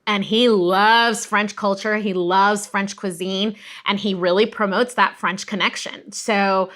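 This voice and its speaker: A woman's voice: medium pitch, pushed and bright. A woman guest expert explaining history in a conversational, emphatic, teacherly way. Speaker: guest expert, museum director of education.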